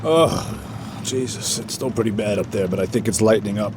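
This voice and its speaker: Weary low-voiced sea captain played by a man. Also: a little fast, background noise, lightly acted. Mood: weary, relieved. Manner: casual, matter-of-fact. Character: weary, pragmatic, unflappable male sea captain. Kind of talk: audio drama acting. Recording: loud boat and storm ambience.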